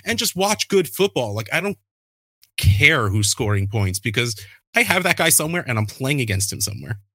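A man in his thirties, a fantasy football podcaster, chatting casually and telling animated stories with a self-deprecating streak. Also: medium pitch, normal speed, breathy edge, dry recording, clean studio sound.

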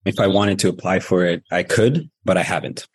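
A Canadian man talking as a podcast guest, reflective and quick to laugh. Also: sits low, breathy edge. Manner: warm, reflective, laughs easily. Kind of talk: casual interview talk.

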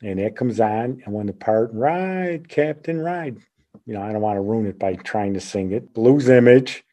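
A man's voice: fairly low pitch, moderate pace, dark tone. A man in his sixties hosting a podcast, chatting casually and reminiscing in a rambling, nostalgic way. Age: 60s. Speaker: podcast host.

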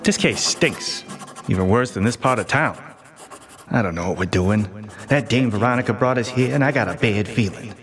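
An actor playing an earnest, bumbling, easily flustered detective's sidekick in a noir radio comedy. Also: male voice, lowish voice, normal speed, music in the background, lightly acted. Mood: brooding.

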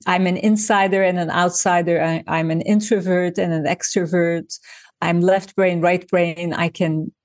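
A woman's voice: on the low side, steady tone, unhurried, darkish tone, no echo. Thoughtful woman from Turkey, dry sound. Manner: thoughtful, explains with examples, frequent restarts. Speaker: designer and author, interview guest. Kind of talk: reflective interview conversation.

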